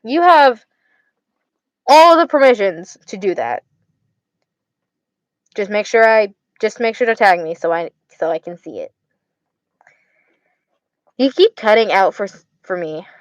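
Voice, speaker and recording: A woman's voice: mid-range voice, animated, unhurried, pauses often, dry recording, lo-fi sound. A young woman with an Arizona accent, chatting casually with viewers on a stream; she stutters, slurs her words and repeats herself. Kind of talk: casual chat.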